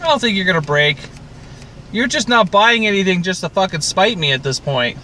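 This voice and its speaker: A man's voice: sits high, animated, fairly quick, pushed and bright, a little hiss or hum. A man co-hosting a podcast in casual, teasing banter, needling his friend as he talks. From Canada. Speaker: podcast co-host.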